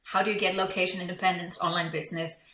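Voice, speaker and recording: A woman's voice: lowish voice, level tone, fast, sharp tone, reverberant. A woman from Iceland, a business coach and entrepreneur, telling her story candidly in an interview, with frequent fillers and restarts.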